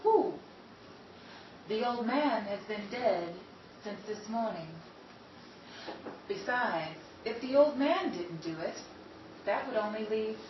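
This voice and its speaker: High-voiced woman narrating a radio mystery. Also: lively intonation. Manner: slow, measured, dramatic delivery. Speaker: narrator and show host. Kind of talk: scripted radio narration and sign-off. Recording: roomy, distant, dull recording with hiss.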